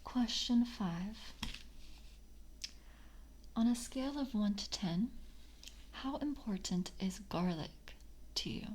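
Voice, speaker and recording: Casting: woman as courteous vampire coven interviewer, ASMR roleplay, soft half-whisper, unhurried delivery, slight background noise. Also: medium pitch, expressive. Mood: polite, measured. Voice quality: soft, breathy, often whispered. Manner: hushed, halting, formally courteous. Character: polite vampire coven member screening a candidate.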